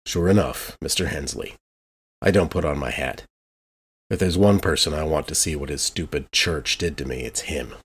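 Man voicing a very deep, husky brooding loner. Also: heavily acted. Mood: weary, guarded. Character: brooding, suspicious, reclusive man haunted by deaths, narrating his thoughts. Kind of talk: first-person noir monologue, scripted.

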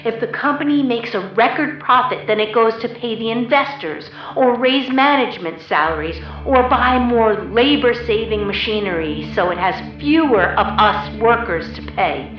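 An actress playing a proud, passionate working-class communist prisoner in a radio play monologue, talking in a colloquial, emphatic, storytelling way. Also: female voice, a little high, moderate pace, hardly any pauses, highs cut off, natural voice. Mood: defiant, indignant.

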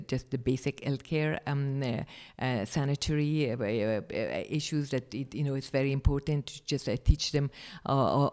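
A man's voice: medium pitch, a little mellow. A man, answering interview questions hesitantly and restarting often. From Afghanistan. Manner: hesitant, searching for words, many restarts. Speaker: charity founder, guest.

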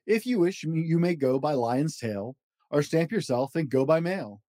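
A man's voice: fairly high pitch, lively intonation, normal speed, dark tone, no room sound, studio quality. A man hosting a children's story podcast, reading aloud expressively with an emphatic, rhythmic delivery.

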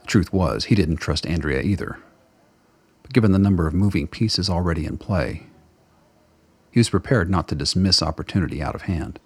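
Very deep, husky male narrator. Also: lively intonation, fairly quick, a little mellow, no echo. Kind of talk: scripted radio play narration.

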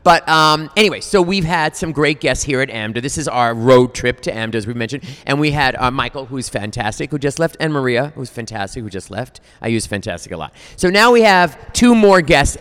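A man's voice: mid-range voice, lively intonation, a little fast, few pauses, fairly bright tone, smooth. A man hosting a podcast, tired and chatty, rambling in a self-deprecating way. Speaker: podcast host. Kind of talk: casual interview chat. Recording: reverberant hall.

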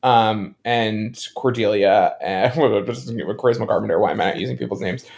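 A man's voice: fairly low pitch, moderate pace, gravelly, a little mellow. A man co-hosting a podcast, chatting casually in an irreverent, joking, sweary way. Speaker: podcast host.

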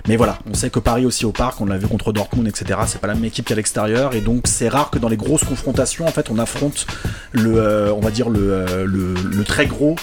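Casual: guy rambling about football fast, lots of euh, music in the back. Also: lowish voice, steady tone. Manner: hesitant, many fillers and restarts. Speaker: panel guest, supporter.

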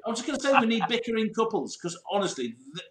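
A man co-hosting a podcast, jokey and animated in casual banter, in an echoey room. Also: extremely high pitch, racing. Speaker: podcast co-host.

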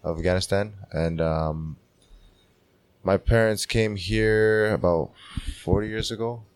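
A man in his twenties, born in Canada and raised in Czech Republic, speaks as a boxer guest in relaxed, laid-back chat full of "you know.". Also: fairly low pitch, takes it slowly, clean tone, no room sound. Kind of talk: casual interview chat.

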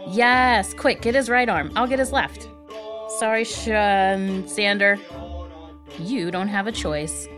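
A woman's voice: mid-range voice, slow pace, music in the background, lightly acted. A woman playing a friendly, eager young adventurer with a casual, upbeat manner in a fantasy audio drama. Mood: eager, teasing.

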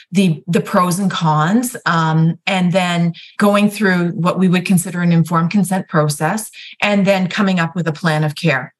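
A woman with a slightly low voice talks through her explanations in a relaxed, chatty way. She fills gaps with small fillers and often restarts her sentences. Her manner is that of a nurse practitioner and ethicist guiding listeners through the subject. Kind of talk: explanatory conversational guest talk.

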